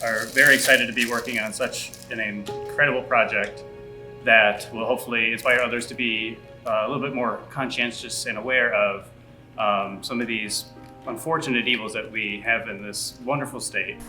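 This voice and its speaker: A man speaks enthusiastically, with occasional hesitations, in a very bright, forceful voice. The room is noticeably echoey, and faint music plays underneath. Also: mid-range voice, steady tone, normal speed.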